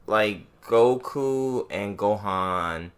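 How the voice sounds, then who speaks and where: A man's voice: on the low side, extremely slow, clean tone. A man co-hosting a podcast in casual chat, blunt and profane, often chiming in with short interjections. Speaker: podcast co-host.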